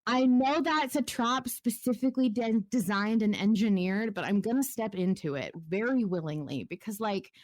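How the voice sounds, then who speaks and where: A woman's voice: medium pitch, normal speed, hardly any pauses. A woman chatting casually as a podcast host, effusive and slangy, with frequent asides. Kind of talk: casual fan discussion.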